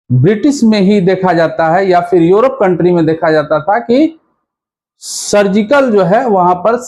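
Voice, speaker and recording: A man with a high-pitched voice gives an explanatory lesson as a teacher. He speaks slowly and didactically, restating each key point in other words. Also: darkish tone. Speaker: history teacher. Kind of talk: explanatory exam-prep lesson.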